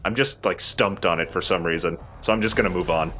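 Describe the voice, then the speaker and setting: A man's voice: deep voice, fairly quick, fairly bright tone, a little hiss or hum. A man hosting a let's play, joking and swearing in casual banter as he comments on his game. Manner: joking, profane.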